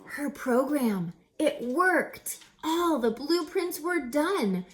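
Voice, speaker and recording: A woman's voice: high voice, expressive, drawn-out words. A woman reading a children's story aloud as a teacher, dramatic and emphatic, putting rhetorical questions to her listeners. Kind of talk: expressive children's read-aloud with teacherly asides.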